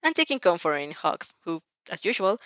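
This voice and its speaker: A woman reading fan fiction aloud as an expressive audio story narrator. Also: lowish voice, normal speed, dry recording, dull audio.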